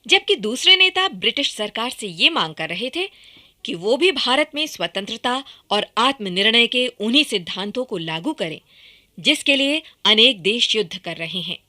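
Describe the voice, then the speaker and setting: A woman reads scripted narration for a radio documentary drama. Her voice is a little high, bright and forceful, with lively rises and falls. The delivery is dramatic and projected. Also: moderate pace.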